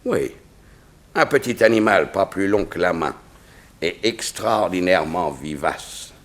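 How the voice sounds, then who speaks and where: Deep-voiced, formal royal chamberlain. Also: male voice, animated, a little slow, a bit bright. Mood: precise, earnest. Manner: formal, precise, explanatory. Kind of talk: 1960s French radio drama acting.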